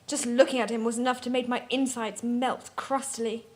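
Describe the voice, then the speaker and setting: A woman with a high-pitched voice narrates a story as a comic dramatic reading. Her delivery is theatrical and mock-serious. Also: flat delivery, moderate pace.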